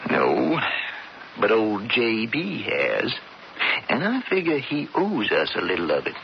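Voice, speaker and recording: A low-voiced scheming cowboy. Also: male voice, wildly swooping pitch, a little slow, gravelly, full character voice. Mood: sly, persuasive. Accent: American, Western drawl. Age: adult, older brother. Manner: folksy, drawling, persuasive. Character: scheming, bitter cowboy older brother, sly and persuasive. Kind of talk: radio western drama acting. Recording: muffled, hissy 1970s radio broadcast.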